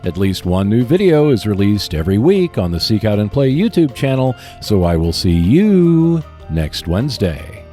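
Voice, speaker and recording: Man with lively pitch reading podcast announcements. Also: normal speed, faint music behind. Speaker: podcast host. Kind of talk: scripted announcements for a hobby gaming podcast.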